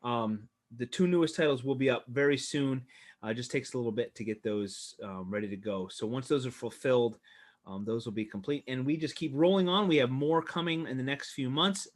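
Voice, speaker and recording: A man hosts a casual livestream over a video call, upbeat and chatty. His voice sits at an ordinary middle pitch. He laughs often and trails off into filler words as he thanks people. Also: brisk. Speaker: host.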